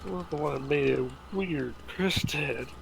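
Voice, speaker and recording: A man's voice: a little high, a little slow, creaky. A man chatting casually as a teammate in a game. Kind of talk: casual chat. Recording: faint noise on voice chat.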